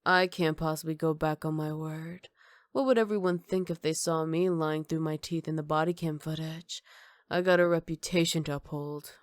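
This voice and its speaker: Woman playing a soft, low-voiced flirty female villain. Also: fairly even intonation, dry recording, clean studio sound, natural voice. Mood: smug, teasing. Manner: sly, unhurried, mock-polite. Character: flirty, teasing, self-assured female villain in custody. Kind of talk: ASMR roleplay.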